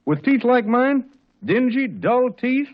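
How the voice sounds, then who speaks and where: A self-pitying young salesman in a comic 1940s radio advert. His complaints are indignant and very animated. The sound is that of a dull vintage broadcast. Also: very high voice, slow pace, full character voice. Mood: sour complaint.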